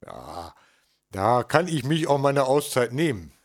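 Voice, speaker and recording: A man's voice: mid-range voice, very animated, unhurried. An older man in casual chat, grumbling and complaining, with frequent hesitations and fillers. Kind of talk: casual chat between two older workers.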